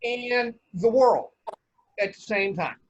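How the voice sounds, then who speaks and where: A man giving casual feedback on a video call, speaking haltingly and repeating words as he goes. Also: sits high. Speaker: table read participant giving feedback. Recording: dull video-call audio.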